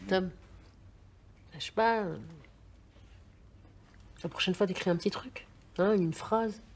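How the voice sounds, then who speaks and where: An actress playing a patient workshop leader, coaxing a student to join in. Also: female voice, mid-range voice, takes it slowly, a little hiss or hum. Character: patient woman leading a writing workshop. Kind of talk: encouraging instruction, film drama.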